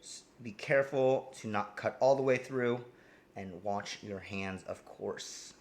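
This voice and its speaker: Chill guy from jersey cooking on stream, lowish voice, lots of ums. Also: a little slow. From New Jersey, US. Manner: easygoing, rambling with many ums and restarts. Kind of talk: casual live cooking demo, reading chat.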